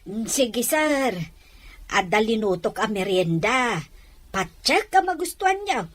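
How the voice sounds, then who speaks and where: A woman's voice: on the high side, big pitch swings, unhurried. A woman playing a dutiful, deferential household helper in an Ilocano audio drama. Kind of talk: Ilocano radio-style drama acting.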